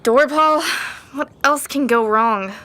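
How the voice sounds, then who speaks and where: A woman's voice: high-pitched, takes it slowly, bright, forceful tone, full character voice. A woman playing an earnest, headstrong young sorceress in a fantasy audio drama. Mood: indignant.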